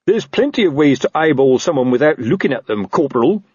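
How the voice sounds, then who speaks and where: A man's voice: a little high, sing-song, a little fast, darkish tone, slightly rough, dry recording, highs cut off, pitched above the performer's own voice. Man playing a blustering, pompous old police captain. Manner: snapping, blustering, officious.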